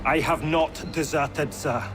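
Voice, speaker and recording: Formal officer, higher-pitched. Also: male voice, slow, music in the background. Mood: defensive. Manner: formal, respectful. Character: dutiful, earnest British army officer, adult man. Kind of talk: dramatic video game cutscene acting.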